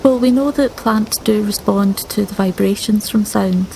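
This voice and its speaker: A woman with a medium-pitched, noticeably creaky voice talks as a player in a tabletop game. Her style is casual and explanatory, and there is faint noise behind her. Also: moderate pace, mellow, low-quality recording.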